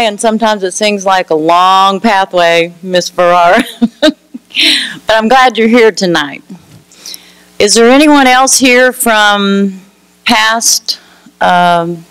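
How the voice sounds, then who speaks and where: A middle-aged woman with a naturally loud voice addresses an audience as a lead teacher. Her tone is exceptionally clear and smooth, and the sound is dry and close with no room echo. She speaks in a warm, chatty way. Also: medium pitch. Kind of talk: ceremony speech. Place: auditorium.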